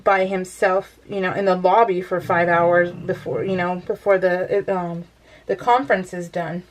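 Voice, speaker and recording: A woman's voice: medium pitch, a little hiss or hum, low-quality recording. A woman chatting casually while scrapbooking at home, rambling with lots of fillers and frequent laughs. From California. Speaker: crafting vlogger.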